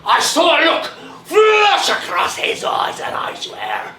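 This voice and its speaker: A woman acting an excitable, gossiping fairy creature in a stage play, with a melodramatic, exclamatory delivery. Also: very high-pitched, takes it slowly, raised voice, noisy background, full character voice. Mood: dramatic alarm. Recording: echoey theatre stage, distant mic, audience.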